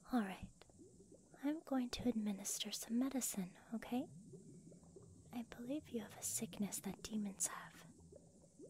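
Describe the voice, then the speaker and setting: Casting: actress as gentle young female demon-slayer medic, whispered ASMR delivery, unhurried and soothing. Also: mid-range voice, natural voice. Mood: reassuring.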